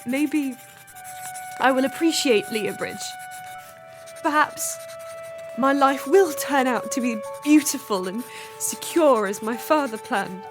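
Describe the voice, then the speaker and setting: Melancholy young gentlewoman played by a woman, slow halting delivery, higher voice, music underneath. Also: animated. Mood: pensive. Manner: wistful, halting. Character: melancholy, sheltered young Victorian gentlewoman confiding in diary. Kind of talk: dramatic diary monologue, radio drama.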